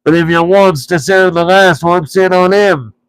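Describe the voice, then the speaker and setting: A man putting on bickering high-pitched trolls. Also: normal speed, smooth, no echo, lightly acted. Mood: squabbling. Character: quarrelsome brutish male trolls, bickering.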